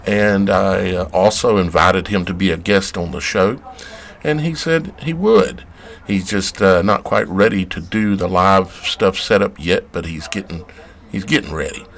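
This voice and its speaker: A man with a gravelly voice, speaking casually to viewers as a show host. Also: low voice, measured pace, fairly bright tone. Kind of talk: casual spoken introduction to viewers.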